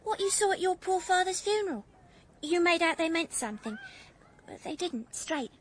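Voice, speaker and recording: High-pitched, pert English working girl. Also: lively intonation, moderate pace, a little hiss or hum. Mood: pert, defensive. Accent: working-class English. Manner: blunt, bantering. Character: young working-class English woman, sharp, larky. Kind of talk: radio drama acting.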